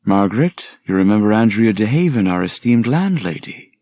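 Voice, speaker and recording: A man's voice: on the low side, sing-song, moderate pace, mellow, dry recording, studio quality, pitched above the performer's own voice. A man voicing a charming, flirtatious college professor in an audiobook, suave and mock-formal.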